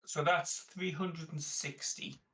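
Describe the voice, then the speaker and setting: A man's voice: on the high side, steady tone, takes it slowly, a bit bright, recorded from a distance. A man playing a tabletop game, casually tallying up items and bargaining.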